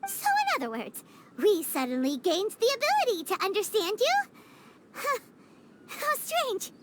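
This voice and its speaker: A woman voicing a small, childlike, anxious floating companion girl in an animated game style, talking dramatically. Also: very high-pitched, measured pace, background noise, full character voice. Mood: puzzled. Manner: speaks of self in third person, dramatic.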